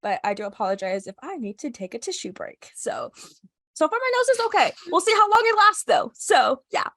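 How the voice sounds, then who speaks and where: A woman's voice: very high-pitched, sing-song, brisk, fairly bright tone. A young woman co-hosting a casual podcast, stuffy from a cold, chatting nervously with frequent laughs. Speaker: podcast co-host.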